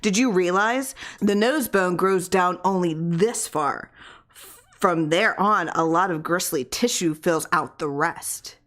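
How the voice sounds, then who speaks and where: A woman's voice: fairly low pitch, takes it slowly, fairly bright tone. Lady reader doing a nervy husband from a horror story, fretful, always questioning. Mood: preoccupied. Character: anxious, brooding husband obsessed with his skeleton.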